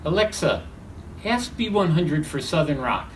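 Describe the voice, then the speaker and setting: A man demonstrates a product in a somewhat high voice. He sounds a little way from the microphone in an echoey room, with slight noise behind him. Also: sing-song, a little slow. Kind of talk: spoken product demo. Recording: roomy, distant mic, faint noise.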